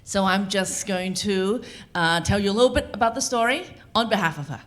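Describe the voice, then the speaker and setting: A woman's voice: mid-range voice, a little fast. A woman working as a consecutive interpreter at a live event, relaying a speaker phrase by phrase.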